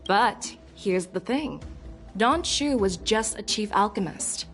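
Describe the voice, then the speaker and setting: A woman's voice: medium pitch, animated, slow pace, a bit bright, faint music behind. A woman voice actor playing a probing, confident female general who questions people formally in a video game. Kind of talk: scripted video game voice acting.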